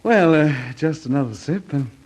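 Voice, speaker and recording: A man playing a feckless, amorous young English gentleman in a mid-century radio comedy, with a hesitant, stammering drawl. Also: on the high side, expressive, moderate pace. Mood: tipsy, smitten.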